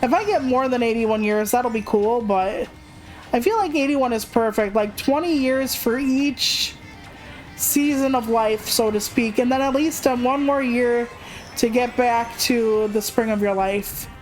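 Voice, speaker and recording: A woman's voice: fairly high pitch, unhurried, darkish tone, faint music behind, poor audio. A woman livestreamer chatting casually in a candid, rambling, self-deprecating way, swearing freely. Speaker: video game livestreamer.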